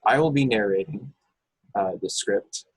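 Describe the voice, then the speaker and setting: A man's voice: lowish voice, measured pace, slightly rough, no echo, rough recording. A man reading scripted action descriptions as narrator in an informal, unpolished way on an amateur online table read. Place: video call from a room.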